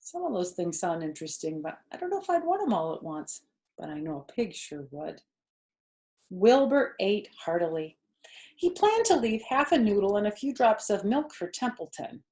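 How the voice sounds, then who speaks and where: A woman's voice: medium pitch, sing-song, normal speed, a little mellow. A woman storyteller reading a children's book aloud expressively, with chatty asides to young listeners.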